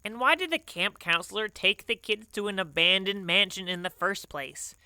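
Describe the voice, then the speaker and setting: A woman's voice: mid-range voice, lively intonation, normal speed, bright, forceful tone, clear, resonant tone, no echo. A woman playing a prim, wordy know-it-all camper in an audio drama, speaking in a precise and pompous manner. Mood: critical. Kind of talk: scripted audio drama dialogue.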